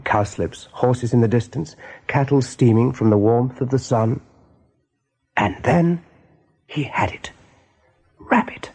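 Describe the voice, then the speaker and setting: A serious storyteller narrates a radio play in a measured way. His voice is mid-pitched, dark and somewhat breathy. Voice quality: husky, mellow. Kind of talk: scripted radio drama narration.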